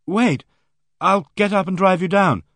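A man's voice: high-pitched, big pitch swings, a little slow, a bit bright, no echo, clean studio sound, pitched above the performer's own voice, exaggerated voice. Brief: an urgent, concerned young woman, raised voice, as a male narrator puts her on.

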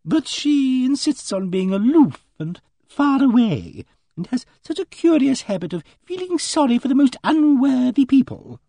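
A man's voice: extremely high pitch, sing-song, a little slow, creaky, warm tone, no echo, voice pushed up, full character voice. A man doing a fluttery, genteel elderly spinster. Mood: confiding. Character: fussy, timid elderly spinster lady. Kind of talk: audiobook character voice.

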